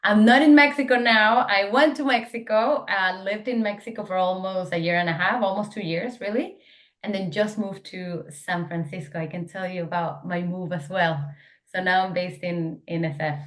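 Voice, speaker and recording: A woman's voice: medium pitch, steady tone, moderate pace, fairly bright tone. A young woman from Venezuela, a startup founder, answering interview questions in an eager, talkative way with many fillers and restarts. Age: young adult.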